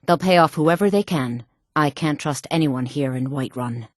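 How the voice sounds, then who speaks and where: Woman doing a proud sultry noble lady from a fantasy game, deep velvety voice, very dry close sound, measured and dramatic. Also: no echo, close to an everyday voice. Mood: urgent, secretive. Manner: measured, dramatic, formal phrasing. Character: proud, secretive exiled noblewoman, sultry and imperious. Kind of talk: fantasy video game voice acting.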